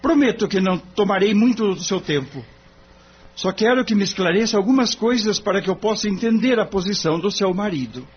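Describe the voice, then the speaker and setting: An earnest young lawyer, played by a man, very high for a male voice and rather husky. He speaks formally and politely, as in an audio drama, through a muffled, phone-like recording. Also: expressive, fairly quick. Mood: earnest pleading. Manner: formal, courteous. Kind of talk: audio novela dialogue.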